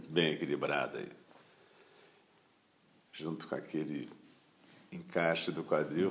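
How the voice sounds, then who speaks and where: Brazilian guy teaching guided meditation, super deep voice, calm n unhurried, little pauses between phrases. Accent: Brazilian Portuguese.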